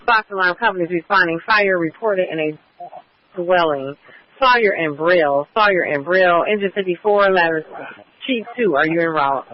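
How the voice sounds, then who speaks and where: A man's voice: sits high, normal speed, no echo. A man working as a fire department radio dispatcher, reading back each unit's call in clipped, formal radio phrasing. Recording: muffled radio transmission.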